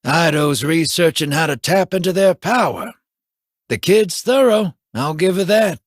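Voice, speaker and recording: An actor playing a wry, easygoing young human scout who talks in a casual, joking, self-mocking way, with a husky voice. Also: male voice, fairly high pitch, expressive, measured pace, no room sound, clean studio sound. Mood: warm.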